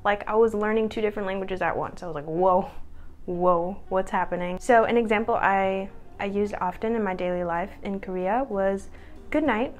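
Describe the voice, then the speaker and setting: A woman's voice: medium pitch, moderate pace. A young woman in her twenties talking casually to the camera at home, chatty and upbeat, laughing at herself now and then. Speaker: vlogger.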